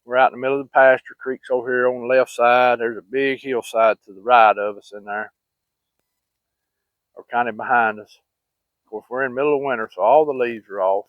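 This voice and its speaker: Male storyteller, Southern US accent, mid pitch, rambling and plain-spoken; dry, narrow phone-like sound. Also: steady tone, fairly quick, dry recording. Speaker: vlogger. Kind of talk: casual personal storytelling. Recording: muffled, phone-like narrow-band audio.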